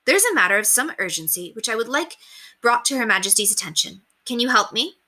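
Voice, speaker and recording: A woman's voice: a little high, sing-song, brisk, sharp tone, voice pushed up, heavily acted. A woman voicing a prim, earnest young governess who speaks formally and properly in a storybook reading. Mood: urgent, polite.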